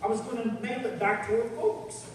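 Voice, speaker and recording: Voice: medium pitch, normal speed, natural voice. Radio crime play: performer as obliging circus boss, talkative, heard off-mic in a very roomy hall. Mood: helpful. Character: helpful, chatty male circus owner. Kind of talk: old-time radio crime drama acting. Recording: echoey hall, far from mic, faint noise.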